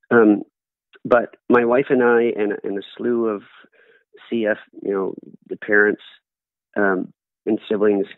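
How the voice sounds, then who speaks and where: A man's voice: fairly low pitch, gravelly, no echo. A middle-aged man, a guest telling stories earnestly in an interview. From Canada. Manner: earnest, storytelling with acted-out quotes. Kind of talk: conversational interview answers. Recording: dry, tinny phone-like line.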